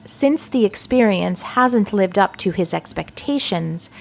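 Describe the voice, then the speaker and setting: A woman's voice: mid-range voice, animated, a little slow, creaky, slight background noise, rough recording. Woman as a firm, businesslike spy chief. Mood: briefing, firm. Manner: matter-of-fact, authoritative. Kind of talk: scripted audio comedy acting.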